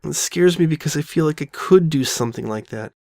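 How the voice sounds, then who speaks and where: A woman's voice: sits low, expressive, vocal fry, darkish tone, no echo, studio quality, exaggerated voice. A woman voicing a frightened, guilt-ridden young female pony who stammers and doubts herself in an audio drama. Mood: anguished. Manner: stammering, halting, anxious. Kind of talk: all-dialogue fan audio drama.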